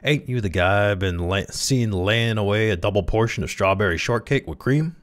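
A tough, bullying watchman from a storybook, acted out by a male reader. He is stern and sarcastic, slinging streetwise slang, and his pitch leaps around as he jeers. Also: very animated, normal speed. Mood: stern, accusing. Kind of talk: dramatized story reading.

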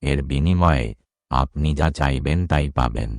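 A man's voice: very deep voice, unhurried, creaky, no echo, studio quality, exaggerated voice. A man voicing a menacing, imposing tantric occultist in a horror cartoon. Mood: solemn, demanding. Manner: imposing, threatening. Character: menacing male tantric occultist seeking a corpse. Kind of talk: dramatic horror cartoon voice acting.

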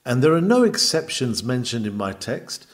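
A man's voice: mid-range voice, sing-song, normal speed. A man reading an old sermon aloud in an emphatic, exhorting manner, with old-fashioned oratory. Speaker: reader of a historical sermon.

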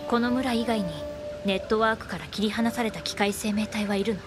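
A woman's voice: medium pitch, fairly quick, loud music behind the voice, close to an everyday voice. A woman playing a cool, reserved, curt android soldier in a Japanese game. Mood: cool, inquiring. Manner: reserved, businesslike.

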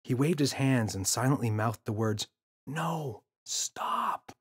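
A man narrating a children's story from a script, as an expressive storyteller. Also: medium pitch, normal speed, husky. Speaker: author and audiobook narrator.

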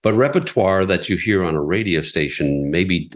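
A man's voice: low voice, lively intonation, normal speed. A middle-aged man, a radio station president, talking casually as an interview guest, rambling a little with restarts and light chuckles. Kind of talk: conversational interview.

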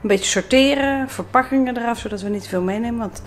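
A woman's voice: fairly high pitch, fast, slight background noise. A woman in her forties chatting casually to a handheld camera in a vlog. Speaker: sailing vlogger. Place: indoors in a home living room. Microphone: handheld camera at arm's length.

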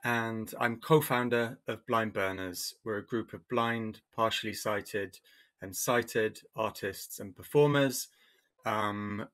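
A middle-aged man introducing himself and explaining his arts group on a video call panel, speaking through a headset in a plain, measured way. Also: fairly low pitch, fairly bright tone. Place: home room.

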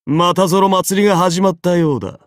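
A man's voice: sits high, a little fast, no room sound, clean studio sound, natural voice. An actor playing a haughty, easily bored golden-armored king in an anime game, pompous, imperious and condescending. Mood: arrogant, condescending. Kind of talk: dramatic anime game character voice acting.

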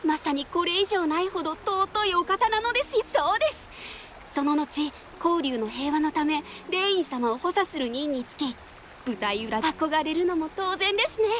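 A woman's voice: high-pitched, animated, normal speed, a little hiss or hum. A woman voicing a polite, earnest young female admirer who gushes, in a Japanese video game. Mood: admiring. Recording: loud music behind the voice.

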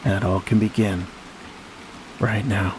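Low, even-toned man guiding sleep hypnosis. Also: low-quality recording. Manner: slow, soothing, long pauses between phrases. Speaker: hypnosis guide. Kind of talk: guided sleep meditation. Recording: steady rain-like noise behind voice.

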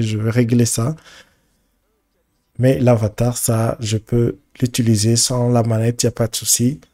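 A man with a head cold giving casual, halting commentary as he streams a football video game, often repeating his words as he thinks aloud. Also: medium pitch, mellow. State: congested with a cold. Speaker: gaming streamer.

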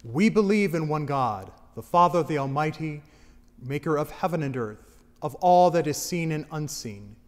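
A man leading a congregation through a creed in steady liturgical recitation. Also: fairly high pitch, normal speed. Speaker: worship leader. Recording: long church reverb.

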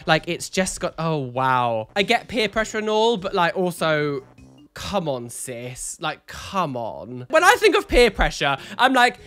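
A stuffy-nosed man in his thirties delivers sassy, dramatic reaction commentary, his bright voice swooping up and down. He speaks close into a studio mic in a small home room, with faint music under him. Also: on the high side, unhurried. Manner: animated, sassy, dramatic exclamations. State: congested, nasal from a cold. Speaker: YouTuber reacting to photos. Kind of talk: camp, chatty reaction commentary. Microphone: studio mic on a boom arm, close.

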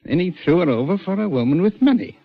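An actor playing a troubled, defensive middle-aged prison governor, insistent and clipped, in 1940s radio style. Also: male voice, high voice, expressive, fast, gravelly, exaggerated voice. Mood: grave, recounting. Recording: dull old 1940s radio recording.

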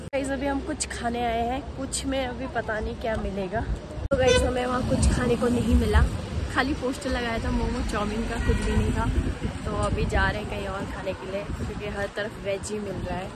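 A woman's voice: on the high side, steady tone, brisk. A woman in her twenties chatting casually and informally to viewers as a travel vlogger. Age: 20s. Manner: informal, addresses viewers directly. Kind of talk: casual chatty vlog narration. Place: in a car and outdoors at roadside. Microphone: camera at arm's length. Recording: noisy handheld vlog with traffic noise.

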